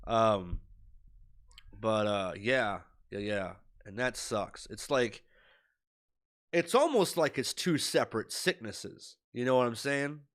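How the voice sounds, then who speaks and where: A man's voice: fairly low pitch, expressive, slow, fairly bright tone. A young man with a stuffy nose and a scratchy voice from illness, rambling worriedly with lots of fillers in a casual video diary to his webcam. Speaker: streamer. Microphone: webcam at desk.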